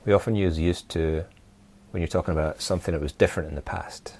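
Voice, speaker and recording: A man from Scotland teaching an informal English lesson, speaking haltingly and giving simple example phrases. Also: low voice, fairly even intonation, darkish tone. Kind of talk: informal language lesson to camera.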